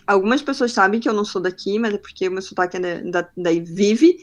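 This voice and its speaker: A young adult woman from northern Brazil whose accent has faded but still shows faintly. Her voice sits at a middle pitch, and she talks frankly as a guest in a casual podcast interview. She brings her stories to life by acting out what each person said. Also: fast. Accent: faint northern Brazilian Portuguese. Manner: frank, animated storyteller acting out quoted dialogue. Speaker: podcast guest, marketing professional.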